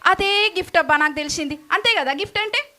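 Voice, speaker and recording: A woman's voice: very high voice, quick pace, pushed and bright, a little hiss or hum. A woman voicing a naive, boastful child puppet in a children's church show, speaking. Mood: excited, questioning. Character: Goldie, chatty naive excitable child puppet, girl.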